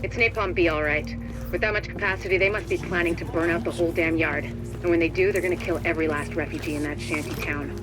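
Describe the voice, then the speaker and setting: An actress plays a female commander in a video game, composed and pragmatic. Her delivery is fast and clipped, with matter-of-fact authority. Music runs clearly underneath. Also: fairly low pitch, steady tone, natural voice. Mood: grim.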